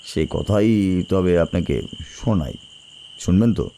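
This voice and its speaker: A man playing a rural local who recounts dark tales in a colloquial, deliberate way in a horror audio drama. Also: sits low, animated, vocal fry, a little hiss or hum, exaggerated voice. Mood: ominous, knowing.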